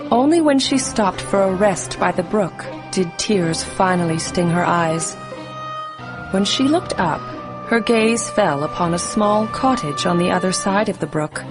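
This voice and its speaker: A woman's voice: mid-range voice, measured pace, low-quality recording. A woman narrating a scripted bedtime story for children as a storyteller. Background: music.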